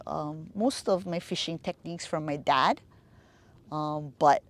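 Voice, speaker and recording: Unhurried Hawaiian woman, slow talker. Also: sits low. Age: middle-aged. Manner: unhurried, pausing often with fillers, warm laughs. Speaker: fishpond nonprofit director. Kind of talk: reflective interview talk.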